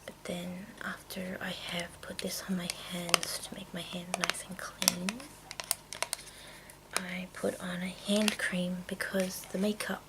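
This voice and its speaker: A woman speaking in a hushed, breathy whisper, casually rambling through products in an unhurried ASMR style. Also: lowish voice, level tone, very noisy. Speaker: ASMR video maker.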